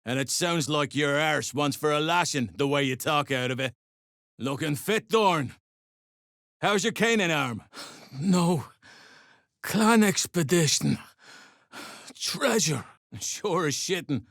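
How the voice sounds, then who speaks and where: Slow, menacing, foul-mouthed duergar slave-driver. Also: male voice, a little high, expressive, fairly bright tone, no room sound, studio quality, heavily acted. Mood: surly, menacing. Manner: curt, threatening, coarse mercenary bluster. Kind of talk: video game character dialogue.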